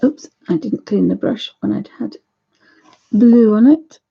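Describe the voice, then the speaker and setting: A woman with a croaky voice hosting a live painting session, chatting to viewers and thinking aloud as she works. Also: on the high side, lively intonation, a little slow, dull audio. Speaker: art channel host. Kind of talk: live painting demo chat.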